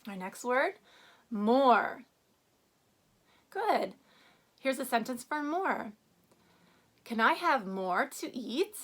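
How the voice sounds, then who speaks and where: A woman teacher giving a reading lesson to young children, prompting them with questions and reading aloud with expressive emphasis. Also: fairly high pitch, drawn-out words, long pauses. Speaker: first-grade teacher.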